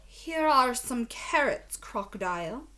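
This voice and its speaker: A woman voicing anxious storybook cooks who serve a crocodile, announcing their lines brightly. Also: on the high side, expressive, slow pace, noisy background. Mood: eager to please.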